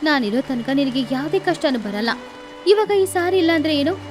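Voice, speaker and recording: Woman as a high-voiced devoted mother sparrow. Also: lively intonation, moderate pace. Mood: comforting. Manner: soothing, motherly, earnest. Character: devoted, protective mother sparrow, poor but determined. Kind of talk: children's animated bedtime story dialogue. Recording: music behind the voice.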